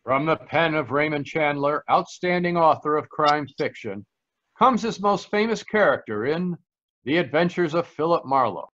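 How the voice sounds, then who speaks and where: A man's voice: a little high, expressive, normal speed, fairly bright tone, muffled recording. A man announcing a scripted program in the style of 1940s radio.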